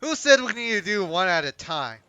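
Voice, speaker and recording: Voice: mid-range voice, big pitch swings, normal speed, pushed and bright, clean tone, dry recording, faint music behind. A game streamer giving casual live commentary, close on the mic.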